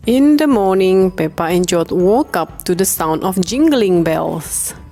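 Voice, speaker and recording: A woman reading a children's bedtime story aloud in an animated, expressive way. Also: on the low side, unhurried, soft background music. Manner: animated, acts out the dialogue. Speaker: storybook reader.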